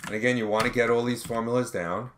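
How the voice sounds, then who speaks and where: A man working step by step through an accounting tutorial as an instructor, in a measured way, reasoning aloud as he calculates. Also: mid-range voice, steady tone. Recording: background noise with keyboard typing clicks.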